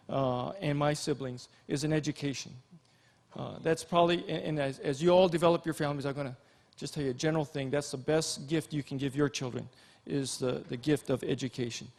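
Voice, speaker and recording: Middle aged guy giving a casual keynote, voice a bit on the high side, stop-and-start folksy storytelling. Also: gravelly, a little mellow. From Hawaii. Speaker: Army general and physician, keynote speaker. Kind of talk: informal keynote speech with personal stories.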